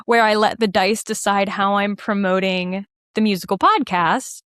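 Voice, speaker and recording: A woman chatting casually as a podcast guest, playful and animated in the way she talks. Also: medium pitch, moderate pace, smooth, dry recording, clean studio sound. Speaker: podcast guest, musical theater writer and performer. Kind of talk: casual interview chat.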